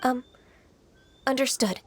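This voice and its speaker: A woman voicing a gentle, earnest young half-adeptus woman, polite and formal, in an anime game. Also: high voice, fairly even intonation, takes it slowly, over music.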